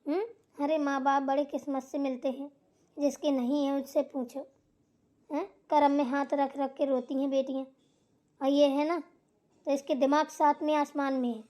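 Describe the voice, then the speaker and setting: A woman's voice: high voice, little pitch movement, moderate pace, close to an everyday voice. A woman voicing a bossy, scolding elder sister-in-law in a Hindi family drama cartoon, hectoring and preachy. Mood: indignant complaining. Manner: hectoring, sharp-tongued, preachy.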